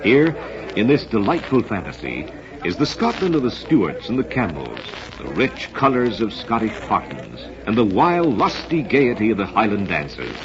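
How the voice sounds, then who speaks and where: A man's voice: fairly low pitch, wildly swooping pitch, moderate pace, crowd noise. A man hosting an old radio program, announcing from a script in a formal, theatrical showman's manner on a muffled vintage recording. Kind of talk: 1940s-50s radio announcing, scripted narration.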